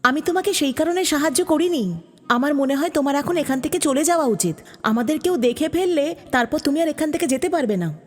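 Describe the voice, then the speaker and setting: A woman's voice: high-pitched, animated, a little fast. A woman voicing a kind, curious young girl in a cartoon fairy tale. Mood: concerned. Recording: long reverb, music behind the voice.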